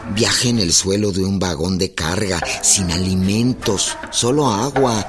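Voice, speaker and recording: A man's voice: low-pitched, a little slow. A man acting as the solemn narrator of a historical radio drama, reading scripted narration. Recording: music behind the voice.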